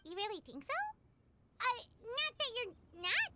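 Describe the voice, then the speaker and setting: A woman voicing a tiny, chirpy, blunt fairy companion who excitedly talks about herself in the third person. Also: extremely high pitch, a little slow, full character voice. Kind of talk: video game voice acting.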